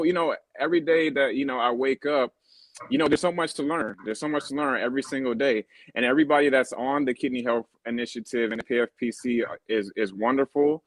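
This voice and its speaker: Halting young man with lots of fillers. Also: medium pitch, a bit bright, no echo. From born in Germany on a US military base. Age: 30s. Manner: halting, many fillers and restarts. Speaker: guest. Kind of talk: casual interview talk.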